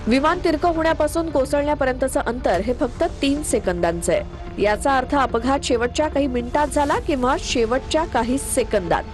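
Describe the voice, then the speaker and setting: A woman's voice: sits high, moderate pace, darkish tone. A woman reading a scripted news narration. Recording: voice-over with prominent music bed. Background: background music.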